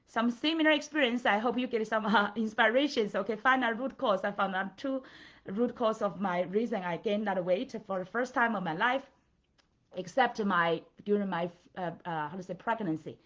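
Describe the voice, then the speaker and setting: A middle-aged woman with a Chinese accent talks as a coach to her followers. She gropes for words, strings sentences together with "like" and "uh", and keeps checking in with "right?" and "okay?". The sound is somewhat dull and lo-fi, and her voice sits at a middling pitch. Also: fairly bright tone. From China. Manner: halting, filler-heavy, checks in with "right?" and "okay?". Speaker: life and business coach. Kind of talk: motivational talk to followers.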